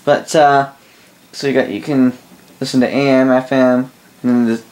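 A man reviews a product off the cuff at a middling pitch that barely moves. His delivery is rambling and halting, with sentences restarted and corrected as he goes. It sounds like a casual home video recorded indoors. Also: level tone, a little mellow, low-quality recording. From Canada. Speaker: host of a radio gear review channel. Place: indoor room full of radio gear.

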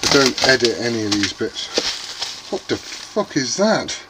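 A man's voice: mid-range voice, big pitch swings, measured pace. A middle-aged British man hosting a casual, unscripted vlog, sweary and jokey as he mutters to himself. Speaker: video host unboxing CB radio gear. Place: small indoor radio workshop. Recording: noisy room, loud background noise, clicks.

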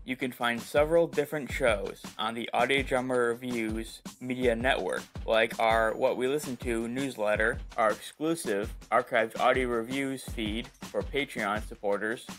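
A man's voice: mid-range voice, a bit bright. A man narrating a scripted audio review as a podcast host.

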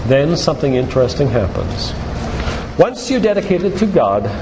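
An older man giving a sermon-like lecture in a church, speaking in an earnest, deliberate, emphatic way with repetition. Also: on the high side, very animated. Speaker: doctor and guest church speaker. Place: church, live talk. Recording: echoey hall with loud background noise.

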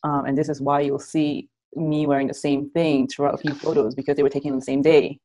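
A woman's voice: low-pitched, fast talker. A woman in her thirties from Malaysia, chatting and laughing easily as a guest on a video call, speaking through an earphone mic in a small room at home. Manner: relaxed, giggly, chatty. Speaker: guest content creator. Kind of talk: casual video call interview.

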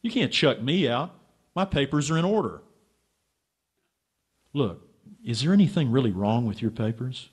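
An actor playing a stubborn, brash, sarcastic writer turned sleuth in a radio drama. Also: male voice, medium pitch, animated, normal speed, pauses often, husky. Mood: indignant, sarcastic. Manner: insistent, sarcastic. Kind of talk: radio drama acting with narration.

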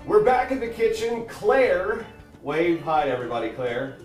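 A man's voice: high voice, very animated, moderate pace, a little mellow, faint music behind. A man in his forties hosting a playful home cooking segment in his kitchen, chatty with joking asides, filmed by a counter camera. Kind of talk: upbeat home cooking demo. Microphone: wide camera on the counter.

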